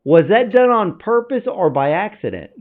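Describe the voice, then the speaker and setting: A man's voice: high voice, sing-song, moderate pace. A man hosting a film interview, asking questions in an eager, chatty way and often restarting his sentences. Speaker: film podcast host. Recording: tinny phone-like line.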